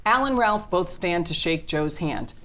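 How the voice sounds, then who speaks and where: Lowish-voiced woman reading screenplay directions. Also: animated, unhurried, a bit bright. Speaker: narrator reading stage directions. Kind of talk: scripted narration.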